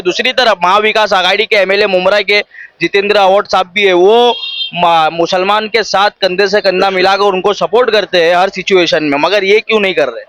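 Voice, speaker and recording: High-pitched male street reporter. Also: fairly bright tone, no echo. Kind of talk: vox pop news interviewing. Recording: faint background noise on location.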